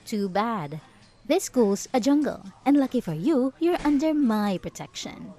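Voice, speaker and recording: A woman's voice: fairly high pitch, sing-song, measured pace, mellow. A woman acting out a possessive, jealous schoolgirl bully in a roleplay audio drama, taunting and sarcastic with sugary false politeness. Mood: bossy, smug.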